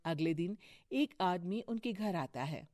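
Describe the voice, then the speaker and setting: A woman's voice: fairly low pitch, lively intonation, a little slow, dark tone, no echo, clean studio sound. A woman narrating a scripted moral story.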